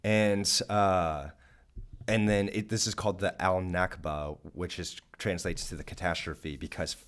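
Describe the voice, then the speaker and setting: A man's voice: deep voice, unhurried, smooth. A man from Georgia with a Southern accent, co-hosting a church podcast and casually explaining history. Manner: animated, acts out voices of nations jokingly. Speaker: podcast co-host.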